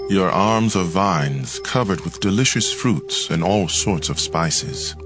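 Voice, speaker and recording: Casting: lovestruck bridegroom in audio Bible drama, very low voice, tender and reverent, music underneath. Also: male voice, lively intonation, slow. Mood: tender, adoring. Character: tender adoring bridegroom, a man in love. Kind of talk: dramatized audio Bible, slow poetic love speech. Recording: music bed behind the voice.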